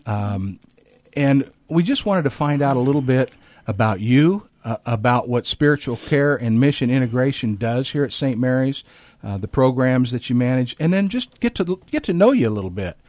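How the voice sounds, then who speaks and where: A man's voice: medium pitch, expressive, normal speed, a little mellow, dry recording. Easygoing male interviewer, dry sound. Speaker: interviewer for the hospital. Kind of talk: conversational interview.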